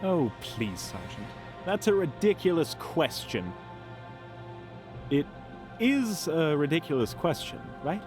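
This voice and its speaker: A man's voice: fairly high pitch, big pitch swings, takes it slowly, long pauses, over music. A man playing a dismissive but secretly nervous adventurer in a fantasy audio drama. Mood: dismissive, doubtful.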